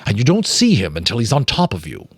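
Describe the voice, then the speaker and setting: A man's voice: lowish voice, huge pitch swings, fast, slightly rough, no echo, studio quality, full character voice. A man voicing a practical, helpful male rat who explains things matter-of-factly in a storybook reading. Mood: calm, explaining. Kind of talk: dramatic audiobook reading of a children's novel.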